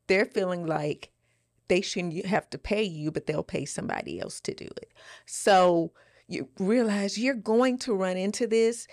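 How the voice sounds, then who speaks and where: A woman's voice: lowish voice, dry recording, clean studio sound. A woman co-hosting a podcast, giving advice in a casual, emphatic way, repeating phrases for stress. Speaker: podcast co-host.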